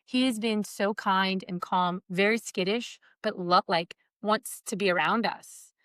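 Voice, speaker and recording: Sex: woman. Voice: nasal, mid-pitched. Role: podcast co-host. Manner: chatty, self-mocking. Sound: dry, close. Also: unhurried, fairly bright tone, no room sound. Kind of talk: casual podcast chat.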